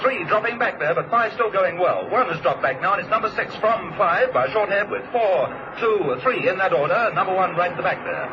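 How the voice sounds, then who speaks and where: A greyhound race announcer in a radio play. The voice is high, clipped and declamatory. Heavy noise covers the dull, vintage recording. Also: male voice, animated, fairly quick, few pauses. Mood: urgent, excited. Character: brisk male greyhound race track announcer.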